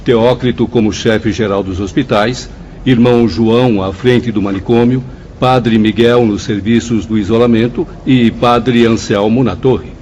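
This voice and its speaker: Actor as scholarly male professor, inquisitive, Brazilian, average pitch, radio play, dull sound. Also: fairly even intonation, unhurried, a little mellow, lightly acted. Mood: reasoning. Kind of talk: formal radio drama acting. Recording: dull audio with faint noise.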